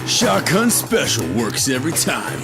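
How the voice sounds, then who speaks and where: A man playing a cocky, gruff demon-hunting brother from a TV show parody, with a macho, clipped delivery. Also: medium pitch, sing-song, measured pace. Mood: worked up. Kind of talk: parody of supernatural TV drama. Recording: music under the voice.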